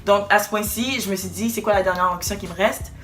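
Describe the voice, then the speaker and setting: Young woman, casual chat, emphatic, direct, medium pitch. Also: quick pace, over music. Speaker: co-host.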